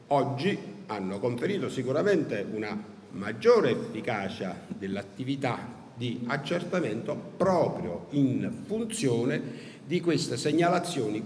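A man's voice: medium pitch, a little hiss or hum. Middle-aged male official, formal panel talk at a gooseneck mic, hesitant fillers, echoey hall. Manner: measured, hesitant with frequent fillers. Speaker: tax agency official speaking at a conference. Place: large ornate hall with wooden paneling. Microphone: gooseneck desk microphone. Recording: roomy hall echo, faint ambience.

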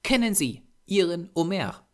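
A man's voice: sits high, big pitch swings, unhurried, a little mellow, voice pushed up. A man voicing a small, grey-haired Japanese doctor, a patient, earnest occultist lecturing formally in an audiobook. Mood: insistent. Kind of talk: audiobook character voice.

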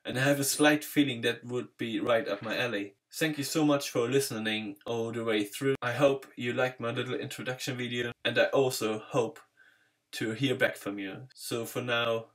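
A man's voice: medium pitch, normal speed. A man in his thirties from Germany with a German accent, earnestly reading a scripted introduction of himself to a webcam in a small bedroom. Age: 30s. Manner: friendly, earnest, steady read-out delivery. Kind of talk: scripted self-introduction to camera.

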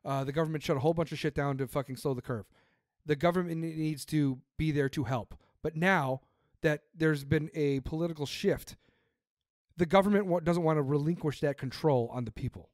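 Man, podcaster, emphatic, swears a lot, fairly high voice, dry sound. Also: a little fast, a little mellow, no echo. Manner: emphatic, profane, ranting. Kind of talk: casual political chat.

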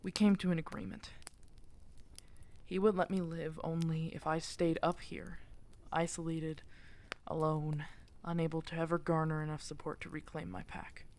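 A woman playing a guilt-ridden, protective exiled werewolf alpha in an intimate ASMR audio drama, halting and emotional, often slipping toward a breathy whisper. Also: sits low, little pitch movement, a little mellow. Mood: grieving, subdued.

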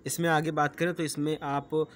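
A man's voice: a little high, level tone, fairly quick, fairly bright tone. A man, presenting like a tech channel host in a chatty, instructional way. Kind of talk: presenter-style app tutorial to viewers. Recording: clearly noisy amateur recording.

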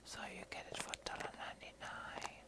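A woman doing a whispered ASMR show and tell, reading aloud haltingly in short phrases. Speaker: ASMR video creator. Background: crumpling, crinkling paper.

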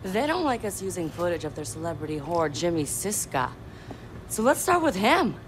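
A woman's voice: fairly low pitch, very animated, normal speed. A young woman playing a brash, defiant hacker activist in a game cutscene, blunt and determined. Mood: scheming, scornful. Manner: blunt, foul-mouthed, determined. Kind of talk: video game cutscene acting. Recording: noisy ambient game scene.

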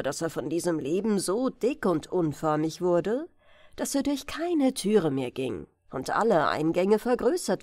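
A woman narrating a fairy-tale audiobook for bedtime with expressive, deliberate phrasing. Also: lowish voice, no room sound, studio quality.

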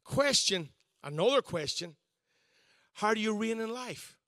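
Bright, high-voiced pastor preaching. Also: male voice, expressive, measured pace, no echo, studio quality. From Northern Ireland. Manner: conversational, self-deprecating preaching with frequent restarts. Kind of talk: sermon.

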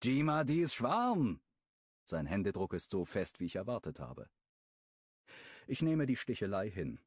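A man with a low voice reads a first-person romance audiobook. His delivery is expressive, with pitch moving lively up and down. The sound is dry and close with no room around it. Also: normal speed, dry recording. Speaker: audiobook narrator.

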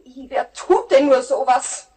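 A woman playing an easily shocked, indignant mother in a radio play, shrill and theatrically outraged. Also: measured pace, dark tone. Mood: horrified. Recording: faint noise on old record.

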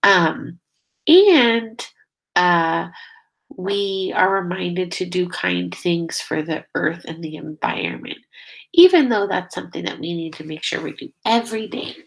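A woman's voice: lowish voice, animated, measured pace, gravelly, low-quality recording. A middle-aged woman reading a picture book aloud as a teacher, expressively, drawing out key words and prompting young listeners with questions. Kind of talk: children's read-aloud.

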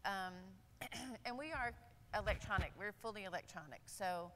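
An older woman, a nurse speaking on a conference panel, candid and animated, with many fillers. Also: medium pitch, moderate pace, in a large hall. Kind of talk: panel discussion. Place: large conference hall stage. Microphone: lapel.

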